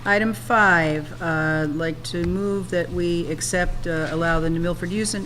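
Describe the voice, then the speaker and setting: A woman on a town council making formal motions, reading agenda items in a measured way with small hesitations. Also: lowish voice, steady tone, background noise.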